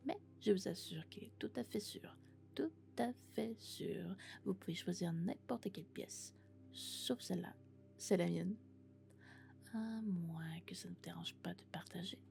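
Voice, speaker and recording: A woman playing a lovesick, possessive female robot in an ASMR roleplay, speaking in a hushed, breathy, intimate whisper. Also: lowish voice. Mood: tender, eager, then affectionate.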